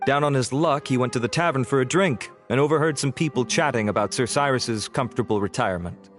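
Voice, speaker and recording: Man voicing a formal investigator, calm and polite, mid-pitched, faint music behind. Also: lightly acted. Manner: formal, reporting plainly. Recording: game audio with faint music.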